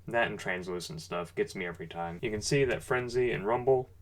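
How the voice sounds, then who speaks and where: A man with a somewhat low-pitched voice presents a hobby product review. His delivery is unscripted and conversational. He comes across as an informal enthusiast who talks through each detail casually. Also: a little fast, slight background noise.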